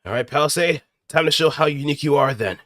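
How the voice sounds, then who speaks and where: A man's voice: a little high, quick pace, a bit bright, no room sound, studio quality, voice pushed up, close to an everyday voice. A man voicing a cocky, fired-up mecha pilot delivering theatrical, punchy battle lines in anime robot game style. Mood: confident, teasing.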